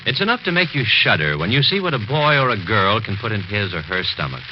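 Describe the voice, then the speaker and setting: A man's voice: fairly low pitch, very animated, brisk, lo-fi sound. A man announcing a scripted 1940s radio advertisement on an old, noisy recording.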